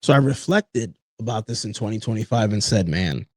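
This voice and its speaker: A man's voice: on the low side, animated, fairly quick, a little mellow, no echo, clean studio sound. A man in his late thirties hosting a solo podcast, talking in an earnest, personal way. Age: 30s. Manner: earnest, personal, with frequent "you know" and "folks". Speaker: podcast host. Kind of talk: reflective solo podcast talk.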